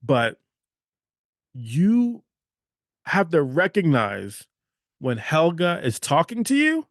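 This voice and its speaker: Emphatic male podcast host, higher voice. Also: wildly swooping pitch, slow, no room sound, studio quality. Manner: emphatic and repetitive, with frequent "okay?" checks. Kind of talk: motivational podcast monologue.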